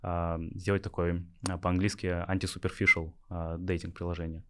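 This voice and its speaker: A man's voice: low-pitched, little pitch movement, moderate pace, a little hiss or hum. A young man from Yakutia, a startup founder, answering interview questions hesitantly with many filler sounds. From Yakutia, Russia. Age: young adult.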